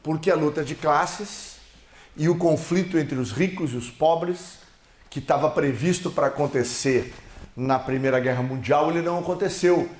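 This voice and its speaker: A man with a Brazilian Portuguese accent, giving an emphatic political seminar speech with slides, his voice swelling and dropping. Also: a little high. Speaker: seminar speaker. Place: lecture hall. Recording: echoey hall.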